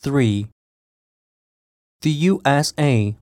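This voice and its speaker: A woman with an extremely low voice reads scripted items for a listening test. Her delivery is slow and deliberate, with each word carefully enunciated, and the sound is dry. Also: lively intonation, darkish tone, no room sound. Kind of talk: scripted language-test reading.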